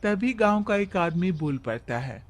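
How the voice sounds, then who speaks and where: A man's voice: high-pitched, expressive, moderate pace. A man narrating a scripted moral story as its storyteller.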